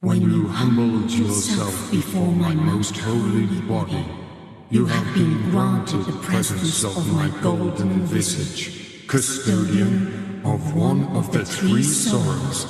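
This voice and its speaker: Drenched in echo with music behind, a majestic golden holy visage intones slowly. The delivery is stately and incantatory, like a sacred spirit in a fantasy game. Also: male voice, mid-range voice, very animated, darkish tone. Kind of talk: scripted fantasy game dialogue. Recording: very echoey, long reverb, music behind.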